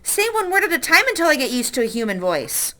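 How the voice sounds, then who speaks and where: A woman's voice: high-pitched, very animated, fast, pushed and bright, pitched above the performer's own voice, heavily acted. A woman voicing a young boy who lives alone in the woods, flustered and protesting. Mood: startled.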